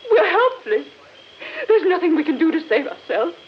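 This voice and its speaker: A woman's voice: very high voice, sing-song, moderate pace, a little hiss or hum. An actress playing a frightened, despairing woman in 1940s radio horror, breathless and melodramatic. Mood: panicked. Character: frightened, despairing woman fleeing a supernatural curse. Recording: muffled old 1940s radio broadcast.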